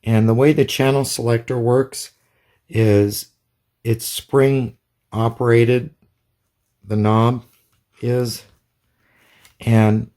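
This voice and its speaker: A man's voice: medium pitch, mellow. A man giving a casual hands-on product demonstration in a plain, methodical, step-by-step way. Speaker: product reviewer.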